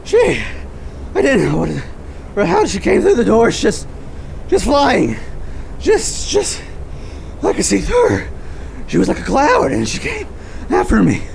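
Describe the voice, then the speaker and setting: An actress playing a detective who panics, swears and stammers, her voice gravelly and shaken. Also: on the high side, a little mellow, a little hiss or hum, full character voice. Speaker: detective Carrigan.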